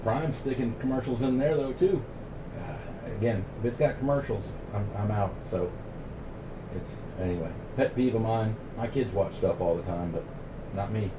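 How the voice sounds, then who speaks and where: A man's voice: lowish voice, moderate pace. A middle-aged American man chatting casually on CB radio, plain-spoken and opinionated, using radio slang. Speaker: CB radio operator hosting a radio night. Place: CB base radio station. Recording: muffled, noisy, roomy CB radio audio.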